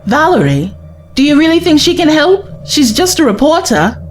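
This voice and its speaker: A woman's voice: high voice, very animated, moderate pace, soft background music. An actress playing a spirited, sceptical young woman, a traveller's companion in an audio drama, questioning and cheeky. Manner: questioning, cheeky, exasperated edge.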